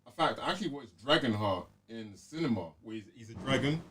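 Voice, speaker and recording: A man's voice: mid-range voice, lively intonation, brisk, a bit bright, far from the mic, slight background noise. A man with a London accent chatting informally and in slang as a panellist on a film podcast. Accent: London British. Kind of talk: casual chat about films.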